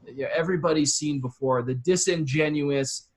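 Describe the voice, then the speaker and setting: A man's voice: a little high, moderate pace. A man giving advice as an interview guest, explaining his approach casually and conversationally. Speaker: interview guest, copywriting expert.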